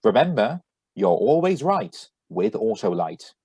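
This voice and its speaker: A man playing an enthusiastic 1940s radio announcer and sponsor pitchman with a husky voice, read over a video call. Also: big pitch swings, a little slow, darkish tone, dry recording, exaggerated voice. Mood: upbeat selling.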